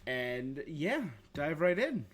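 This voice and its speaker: A man's voice: a little high, sing-song, slow. A man hosting a gaming livestream, chatting casually and informally with his viewers in a laid-back way. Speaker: game streamer, host.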